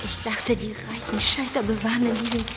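A high-pitched woman , played by an actress, is a giddy, excitable bank robber in a radio drama. Her talk is colloquial, and loud music plays behind her. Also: a little fast, close to an everyday voice. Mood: giddy, amazed.

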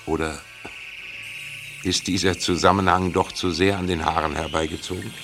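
A man narrating an audio drama in a measured, wry, musing manner. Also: low voice, fairly even intonation. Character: wry, deliberate male story narrator. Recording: clear music bed underneath.

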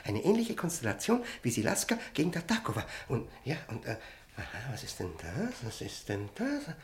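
An actor playing an obsessed, thirst-tormented prisoner who is losing his mind, in an intense, feverish radio drama performance with halting repetitions. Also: medium pitch, wildly swooping pitch. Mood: manic, frantic. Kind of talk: German radio drama monologue and dialogue.

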